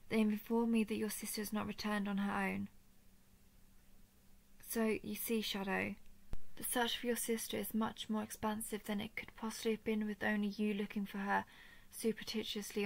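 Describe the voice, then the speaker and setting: Woman voicing a gentle, creaky-voiced female dragon. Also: mid-range voice. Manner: soft, soothing, formal measured diction. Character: gentle, protective female dragon, earnest empathic royal daughter. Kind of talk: scripted roleplay audio drama.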